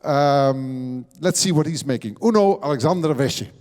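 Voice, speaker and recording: A man's voice: mid-range voice, lively intonation, normal speed, darkish tone, in a large hall. A man presenting a music competition, interviewing a finalist and announcing the next one, often stumbling and repeating words as he searches for them.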